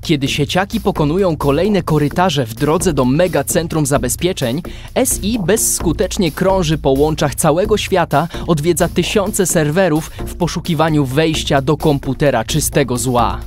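A man's voice: a little high, normal speed, darkish tone, faint music behind. A man narrating a scripted radio drama as storyteller.

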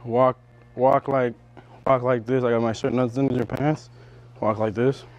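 A man posing as a store worker in a casual, deadpan prank exchange. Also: mid-range voice, measured pace, poor audio.

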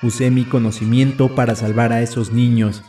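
Male narrator as self-righteous killer in a horror story, grave sermonizing, slightly mellow, light music underneath. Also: mid-range voice, flat delivery, moderate pace, distant mic, pitched below the performer's own voice, natural voice. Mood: grave preaching. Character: self-righteous psychopathic killer preaching about ungrateful children.

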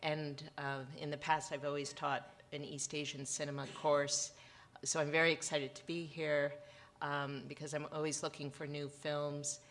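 A woman from the United States, a professor, introducing herself on a festival panel in a measured, academic way. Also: low-pitched, little pitch movement, a bit bright. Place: event hall with a panel on stage.

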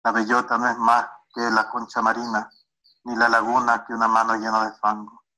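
A man's voice: fairly low pitch, completely flat delivery, normal speed, bright, forceful tone, low-quality recording. A man reading his own poem aloud, played back as a recording. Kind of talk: poetry reading.

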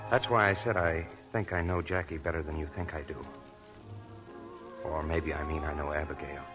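An actor playing an earnest, hesitant young army lieutenant in a 1940s radio drama. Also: male voice, very deep voice, steady tone, moderate pace, over music.

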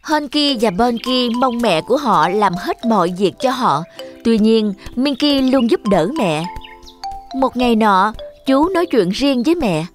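Female narrator, scripted children's storytelling, slightly high pitch, tinkly music behind. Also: expressive, a little slow. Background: jingly children's music.

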